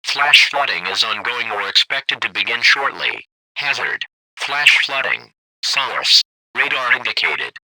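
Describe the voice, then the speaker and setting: Very bright synthetic male alert announcer. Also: mid-range voice, big pitch swings, unhurried, no room sound, poor audio. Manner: formal, impersonal, clipped announcing. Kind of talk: scripted weather warning bulletin.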